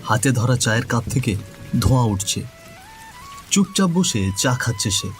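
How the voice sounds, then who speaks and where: A man's voice: medium pitch, huge pitch swings, moderate pace, soft background music. A man narrating a scripted cartoon story as its storyteller. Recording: faint background noise.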